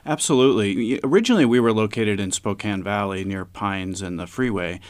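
A man's voice: on the low side, fairly quick, no echo. A man speaking as a community theater director, answering conversationally in an interview.

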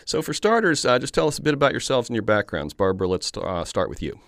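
A man hosting a public radio interview show, introducing his guests in a measured, friendly way. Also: medium pitch, sing-song, fairly bright tone, no echo.

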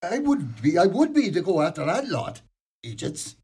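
An actor playing a suspicious, dryly stern Irish small-town investigator in a radio play, husky and probing. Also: male voice, high voice, huge pitch swings, normal speed, dark tone, heavily acted. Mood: dry, resigned. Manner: dry, probing.

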